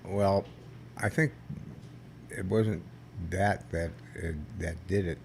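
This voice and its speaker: An older man, a veteran guest, telling stories in a rambling, halting way with many restarts and a loud voice that carries. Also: low-pitched, steady tone, gravelly, slight background noise. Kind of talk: casual storytelling interview.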